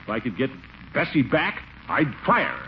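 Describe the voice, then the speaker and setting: An actor playing a pompous, excitable middle-aged official who blusters and exclaims in 1940s radio comedy style. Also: male voice, mid-range voice, normal speed, gravelly. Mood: grumbling bluster. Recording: muffled, noisy 1940s radio recording.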